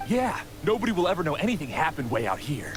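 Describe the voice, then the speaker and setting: A man's voice: fairly high pitch, wildly swooping pitch, quick pace. An actor playing a cowardly, shifty bandit henchman in a video game, whiny and stammering. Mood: sly. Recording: game capture with clear background noise.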